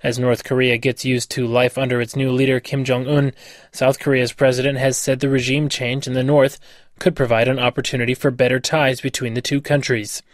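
A man's voice: medium pitch, little pitch movement, fairly quick, no room sound, studio quality. A man reading a scripted news report for radio. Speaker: radio newsreader.

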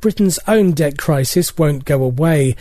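Mellow, higher-voiced male news correspondent. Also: animated, unhurried, dry recording. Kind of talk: scripted radio news report.

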